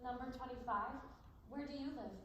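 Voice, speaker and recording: Distant and washed in echo, a young woman plays a teenage girl prisoner in a stage drama. Her voice is high, and the character is gentle, earnest and anxious. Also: normal speed, close to an everyday voice. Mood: curious, friendly. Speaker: amateur stage actor. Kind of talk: one-act stage play acting. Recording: very echoey, distant, noisy stage recording.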